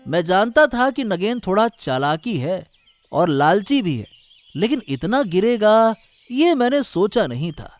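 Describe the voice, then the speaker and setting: A man's voice: high voice, very animated, a little slow, dry recording, faint music behind. A man playing an earnest, fair-minded young village man in a Hindi cartoon story. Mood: grave.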